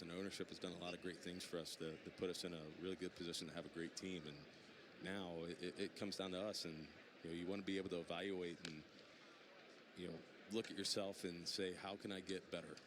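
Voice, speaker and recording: Man, deep voice, quick halting interview talk, noisy outdoor ballpark. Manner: hesitant, repeats words, many "you know"s. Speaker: professional baseball player. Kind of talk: casual in-game interview.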